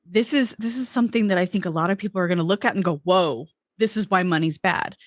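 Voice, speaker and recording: A woman's voice: on the low side, quick pace, no room sound, clean studio sound. A woman hosting a podcast interview, thinking aloud in a reflective way with some restarts. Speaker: podcast host.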